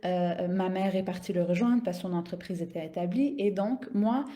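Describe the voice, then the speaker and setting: A woman's voice: on the low side, normal speed, mellow. A woman, telling personal stories in a casual, unscripted way, often hesitating and repeating small words as she searches for the next one. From raised in the Middle East (Saudi Arabia, Dubai) in a Syrian-Canadian family. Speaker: health coach and business owner hosting a live stream. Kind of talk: spontaneous casual personal storytelling.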